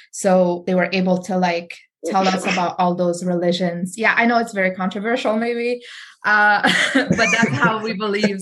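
A woman's voice: medium pitch, fairly bright tone. A woman from Morocco, a guest in a casual chat, talking at length with ums and laughs. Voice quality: chatty, rambling with ums and laughs.

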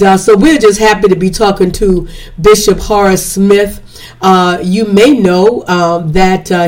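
A woman's voice: medium pitch, darkish tone. Woman host, stuffy sinus voice, chatty, preacherly repetition. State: congested, slightly hoarse. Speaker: podcast host. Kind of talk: casual podcast intro.

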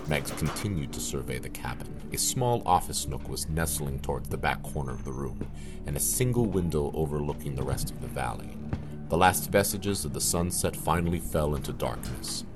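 A man's voice: a bass voice, expressive, moderate pace, music in the background. A man narrating an audio drama as an ominous storyteller of a horror tale, in scripted, dramatic prose. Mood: descriptive, atmospheric.

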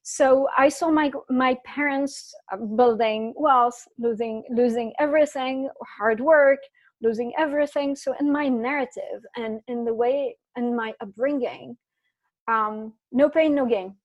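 Guest, woman from Syria, high voice, animated storyteller. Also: measured pace. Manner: animated storyteller, many restarts. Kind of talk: casual interview chat.

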